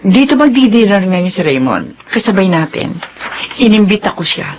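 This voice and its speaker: Middle-aged mother, Filipino accent, gracious, hesitant, animated pitch, radio drama. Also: moderate pace, highs cut off, background noise. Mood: nervous, gracious.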